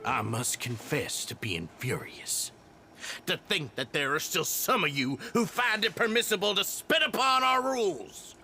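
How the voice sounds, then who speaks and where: Sharp, furious tyrant gang boss. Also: male voice, high voice, very animated, unhurried, soft background music, exaggerated voice. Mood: furious, menacing. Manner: ranting, menacing, grandiose. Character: tyrannical, furious male prison gang boss, cruel and self-righteous. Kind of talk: theatrical video game voice acting.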